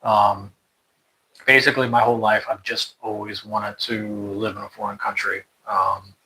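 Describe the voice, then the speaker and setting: A man's voice: fairly low pitch, level tone, moderate pace, pushed and bright, slight background noise, low-quality recording. A young American man talking casually about moving abroad, rambling with lots of fillers and restarts. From United States. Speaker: interviewee. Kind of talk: casual conversation.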